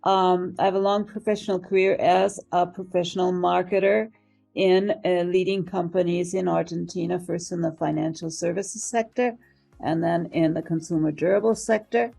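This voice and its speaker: An older American woman speaks as an interview guest. Her voice sits low and mellow, with a good deal of fry. She is articulate and measured, taking small pauses as she explains her work. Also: steady tone, soft background music. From United States. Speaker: interview guest, marketing professional and professor. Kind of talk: interview answers, career account.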